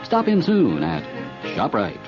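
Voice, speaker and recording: A man's voice: mid-range voice, huge pitch swings, a little slow. A man reading an upbeat scripted supermarket commercial as a radio announcer. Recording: muffled vintage radio. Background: music.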